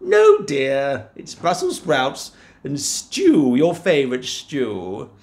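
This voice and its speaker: A man voicing a flustered, kindly giant's wife, in a high fluttery put-on voice. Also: wildly swooping pitch, takes it slowly, gravelly, a little mellow, heavily acted. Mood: fussing. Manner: fussy, dithering, talks to herself.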